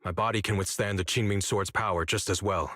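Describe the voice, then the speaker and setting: A man voicing a determined, protective older-brother swordsman in a video game, measured and formal in his speech. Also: on the low side, steady tone, bright, forceful tone, no echo, studio quality. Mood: insistent. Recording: game music behind the voice.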